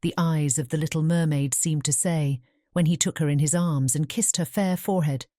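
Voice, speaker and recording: A woman narrates a storybook in a British accent. Her voice is notably low and soft-edged, dark in tone. She tells it in a measured way, recorded very dry. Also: fairly even intonation, no echo. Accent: British English. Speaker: audiobook narrator. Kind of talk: scripted fairy tale narration.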